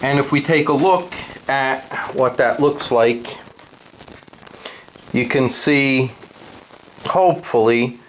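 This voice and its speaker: Slow-paced man, casual hands-on tutorial. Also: mid-range voice, slight background noise, low-quality recording. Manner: blunt, unscripted step-by-step explaining. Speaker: host of a guitar gear tutorial and review.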